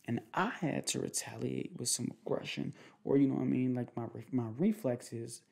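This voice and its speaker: A young man in a casual, improvised boyfriend roleplay, speaking informally in slangy, halting, rambling phrases. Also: mid-range voice, vocal fry, dark tone. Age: young adult. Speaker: ASMR roleplay performer playing a protective boyfriend. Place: small indoor room at home.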